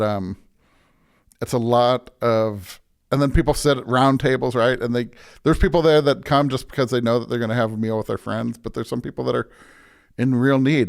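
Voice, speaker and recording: An American man who is a pastor, talking informally and a bit haltingly as a guest in a casual interview. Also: medium pitch, steady tone, creaky.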